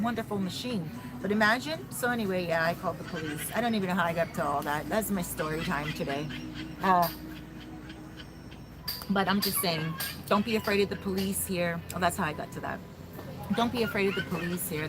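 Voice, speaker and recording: A chatty woman talks casually to her viewers on a livestream and reads their messages aloud. She speaks into a handheld phone outdoors at a restaurant, with loud music playing behind her. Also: lowish voice. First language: English. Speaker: vlogger on a livestream.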